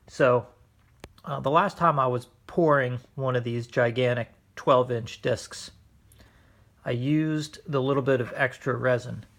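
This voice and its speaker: A man hosting a casual hobby demonstration in his living room, explaining things in a measured, hesitant way. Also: medium pitch, fairly bright tone. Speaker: host, record hobbyist. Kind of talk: casual demonstration of vintage records. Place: indoors, living room at home.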